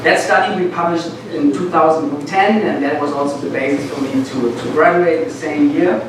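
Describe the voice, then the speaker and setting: A middle-aged man with a German accent gives an informal academic talk to students, halting and often repeating words. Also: a little high, steady tone. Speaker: guest lecturer, medical school professor. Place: lecture classroom, indoors. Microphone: far camera at back of room. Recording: distant, very echoey, noisy room recording.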